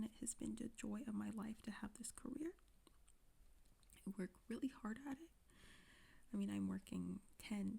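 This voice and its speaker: A woman's voice: a little high, creaky, breathy edge, exaggerated voice. A young woman playing a self-pitying influencer, whispering as if on the verge of tears. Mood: teary, pleading. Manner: hushed, whispery delivery throughout. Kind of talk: parody apology video.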